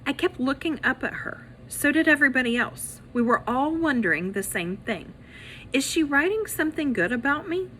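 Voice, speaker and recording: A woman reading a children's story aloud as a teacher, in an expressive, animated way that acts out the characters. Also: mid-range voice, a little hiss or hum, rough recording.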